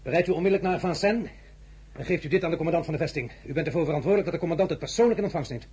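An actor playing an imperious, ambitious French ruler in a Dutch radio play, speaking with a Dutch accent, commanding and then softly condescending. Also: male voice, on the high side, racing, a little hiss or hum, natural voice. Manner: commanding, shifting to soft intimate condescension.

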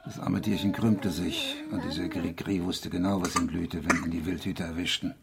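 A man's voice: sits low, steady tone, normal speed, gravelly, over a noisy crowd. An actor playing a troubled, bitter village man, brooding and intense, in a German radio crime drama. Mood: grim, agitated. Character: troubled, bitter rural Frenchman, haunted by village violence.

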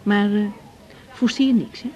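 A woman's voice: mid-range voice, steady tone, unhurried, a little mellow, lo-fi sound, natural voice. A young woman with a Dutch accent from the Netherlands playing a frank, easygoing doctor in a naturalistic radio drama. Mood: frank, reassuring. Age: young adult.

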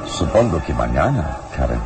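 A man playing a calm, serene hero in a radio drama, speaking in a measured, deliberate way. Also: extremely low pitch, gravelly, exaggerated voice. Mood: composed, thoughtful. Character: calm, serene heroic adventurer investigating murders. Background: dramatic music.

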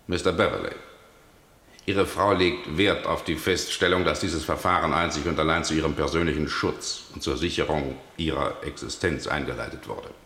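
An actor playing a stern judge in a radio play, questioning in a formal and insistent manner. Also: male voice, a bass voice, steady tone, normal speed, fairly bright tone, reverberant. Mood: formal, probing. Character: stern male judge pressing for answers. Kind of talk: German radio play acting.

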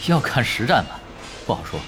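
An actor playing a laid-back, cocky veteran pro gamer in an audio drama, wry and self-assured. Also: male voice, medium pitch, sing-song, fairly quick, music in the background, close to an everyday voice. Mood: calm, analytical.

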